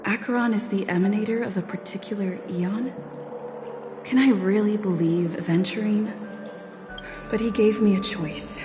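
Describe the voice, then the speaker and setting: A woman's voice: medium pitch, normal speed, music in the background, lightly acted. A woman voicing an earnest young female traveler in a video game, hesitant and questioning, as if thinking aloud. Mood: pensive, doubtful.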